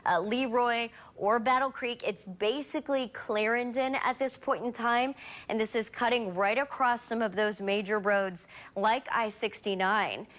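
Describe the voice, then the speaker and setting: A woman's voice: a little high, unhurried, fairly bright tone. A woman meteorologist giving live, unscripted weather commentary in an explanatory way.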